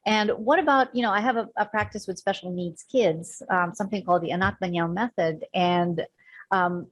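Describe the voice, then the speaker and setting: Woman, podcast guest, passionate, exasperated, husky voice, middle pitch. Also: moderate pace. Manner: passionate and exasperated, with repeated questions. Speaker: podcast guest, special-needs practitioner. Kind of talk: casual conversational interview.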